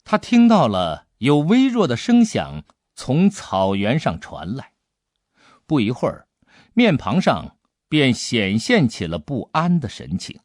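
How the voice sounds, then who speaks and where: A man narrating an audiobook adventure story in an expressive, dramatic storytelling manner. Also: mid-range voice, slow pace, no echo, clean studio sound.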